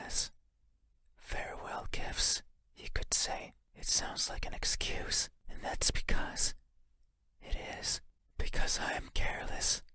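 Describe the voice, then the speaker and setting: An actor playing a creepy, sorrowful giant creature in a horror game, whispering slowly and intimately in a breathy, musing way. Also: male voice, pushed and bright. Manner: slow, intimate, deliberate, musing.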